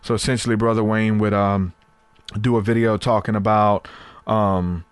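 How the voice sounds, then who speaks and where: Podcast host guy, 30s, rambly and repeats stuff, voice kinda worn out, low and dry close mic. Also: little pitch movement, normal speed, clear, resonant tone, no echo. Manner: rambling, repeats words, many fillers. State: voice getting tired. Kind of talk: casual podcast monologue.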